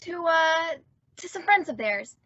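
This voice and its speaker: A woman's voice: extremely high pitch, lively intonation, a little slow, a bit bright, dry recording, highs cut off, heavily acted. A woman playing a dramatic, lovestruck and earnest teenage girl in an old-time radio comedy. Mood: lovesick, regretful.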